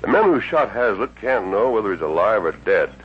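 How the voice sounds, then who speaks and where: An actor playing a resolute masked lawman in a radio western, with husky, measured, commanding speech and formal diction. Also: fairly low pitch, big pitch swings. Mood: calm, resolute. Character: resolute masked lawman hero of the Old West. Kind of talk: 1950s radio western acting. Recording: dull old radio recording.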